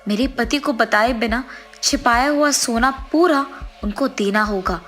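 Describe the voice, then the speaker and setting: A remorseful ghost wife played by a woman storyteller in a cartoon moral tale. The voice is high and unhurried, earnest and pleading, with anxious politeness over a clear music bed. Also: a bit bright. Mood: sorrowful, resolved.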